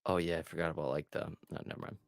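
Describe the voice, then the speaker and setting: A man's voice: low-pitched, steady tone, racing, no echo. A young man from Massachusetts with a Massachusetts accent, chatting casually and profanely as host of a manga read-along stream, packing his talk with "like". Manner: rambling and profane, full of "like".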